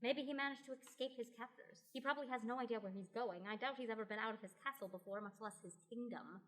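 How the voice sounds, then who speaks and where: A woman's voice: on the high side, quick pace, fairly bright tone, close to an everyday voice. A young woman playing an impatient, skeptical and determined female adventurer in a radio play, full of sarcastic retorts. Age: young adult. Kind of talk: scripted radio play acting.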